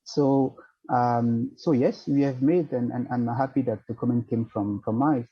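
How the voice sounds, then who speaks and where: A man with a French-accented English voice, answering a panel question in a measured, formal way while searching for words. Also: medium pitch, breathy edge, muffled recording. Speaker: financial centre representative on a panel.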